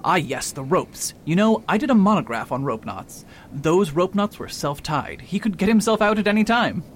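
An actor playing a proud, impatient doctor and criminologist in an amateur radio drama, brisk and skeptical. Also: male voice, high-pitched, lively intonation, a little hiss or hum.

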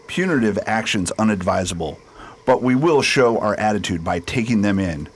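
An actor playing a level-headed, decisive civilian leader of a space expedition in a radio drama. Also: male voice, fairly low pitch, lively intonation, moderate pace, slight background noise, natural voice. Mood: measured.

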